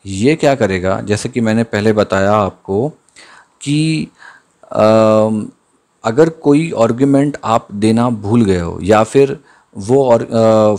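A man giving a screen-recorded coding lesson, thinking aloud as he types and slowing down to read out the code. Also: on the low side, darkish tone. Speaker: programming tutor. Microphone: voice-over on screen capture.

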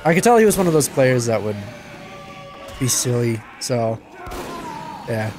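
A young man giving casual gameplay commentary, excitable, yelping and laughing at the action, his voice a little stuffed up. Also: mid-range voice, a little slow, soft background music. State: a bit stuffed up from crying. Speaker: gaming channel host.

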